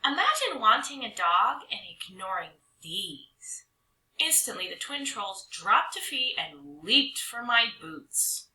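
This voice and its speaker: Animated, very bright-voiced woman reading a storybook. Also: a little high, takes it slowly. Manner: dramatic, with stretched words and hushed drops. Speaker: storybook reader. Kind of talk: expressive children's read-aloud narration. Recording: roomy echo.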